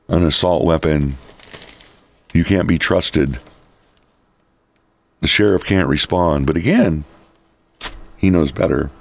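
A male talk radio host with a raspy voice, giving opinionated political commentary. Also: low-pitched. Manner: opinionated, reads quotes then rebuts them. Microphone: studio microphone.

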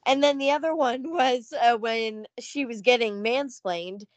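A woman co-hosting a podcast, casually chatting about a film and briskly moving the segments along. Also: a little high, dry recording, highs cut off. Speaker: podcast co-host.

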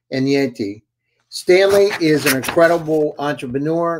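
A man's voice: medium pitch, darkish tone. A man hosting an online show, introducing his guest with enthusiastic praise and asking rambling questions. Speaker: host and company CEO.

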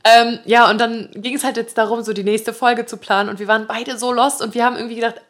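Young podcast girl, voice on the high side, chatty, lots of english slang mixed in. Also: little pitch movement, quick pace, a bit bright. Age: young adult. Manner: mixes English slang into German. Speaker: podcast co-host. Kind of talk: casual chat between friends.

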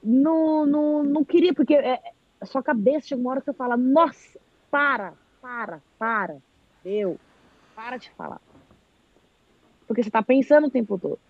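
Brazilian woman, casual live chat guest, husky, high-ish, animated storyteller; dry, dull lo-fi audio. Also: normal speed, long pauses, no echo. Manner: animated storyteller, acts out quoted speech.

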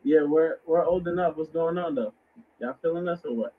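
A man's voice: a little high, moderate pace, narrow, tinny audio. A man calling into a dating show, playful and flirty. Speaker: caller.

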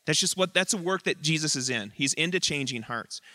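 A man's voice: on the high side, animated, a little fast, sharp tone, a little hiss or hum. A man, the lead pastor of a church, preaching earnestly in short emphatic phrases in the sanctuary. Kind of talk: sermon.

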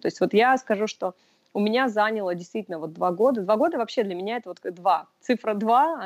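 A woman's voice: mid-range voice, a little mellow, dry recording. A young woman from Russia, a podcast guest, talking in a thoughtful, casual way with many fillers. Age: young adult. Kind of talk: casual interview talk.

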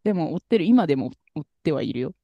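Lively, mellow-toned podcast co-host. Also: mid-range voice, fast, no room sound, highs cut off. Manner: chatty, hesitant, trails off with fillers. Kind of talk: casual chat about music.

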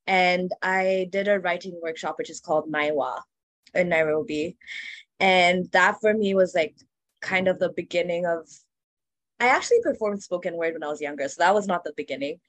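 A woman's voice: on the low side, moderate pace, no room sound. A woman from Kenya speaking earnestly and reflectively in a casual panel discussion, with frequent fillers.

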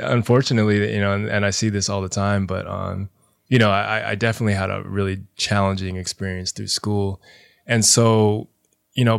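A young man, a teacher, speaking as a podcast guest in a soft, reflective way, with many fillers like "you know". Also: fairly low pitch, no room sound, clean studio sound. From Los Angeles area, US. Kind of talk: reflective interview talk.

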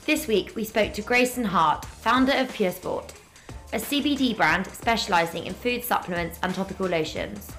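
A woman's voice: mid-range voice, big pitch swings, moderate pace, a bit bright, faint music behind. A woman hosting a podcast, reading a scripted intro and then asking questions in a friendly way with some hesitant ums. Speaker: podcast host.

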